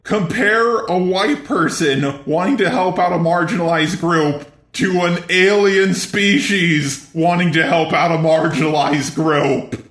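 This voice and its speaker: A man's voice: sits high, unhurried, hardly any pauses, fairly bright tone, echoey room. A man's synthetic text-to-speech voice delivering scripted video commentary.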